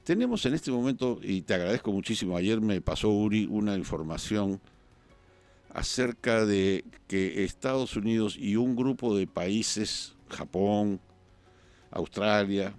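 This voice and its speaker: Un periodista peruano, invitado en un programa de debate político, que habla pausado y piensa en voz alta entre muletillas. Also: male voice, on the low side, animated, darkish tone, soft background music. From Peru. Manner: measured, thinking aloud with pauses and fillers. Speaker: journalist guest. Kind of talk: political talk-show discussion.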